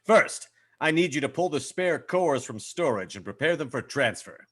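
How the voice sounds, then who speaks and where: A man puts on a self-important starship captain, haughty and easily affronted. The voice is fairly high and cutting, with very animated swoops, played for comic science fiction. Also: moderate pace, husky. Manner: pompous, haughty. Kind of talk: comic sci-fi table read.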